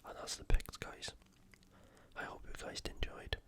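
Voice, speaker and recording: An ASMR host, speaking entirely in a breathy whisper in a casual, conversational commentary style. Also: unhurried, a bit bright. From United Kingdom. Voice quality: whispered, unvoiced. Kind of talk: ASMR fight preview commentary.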